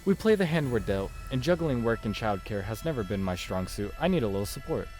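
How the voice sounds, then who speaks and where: A man's voice: fairly low pitch, very animated, a little fast, a little mellow, soft background music. A man voicing a weary, irritable but protective detective in a visual novel read-aloud. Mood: wry, exasperated.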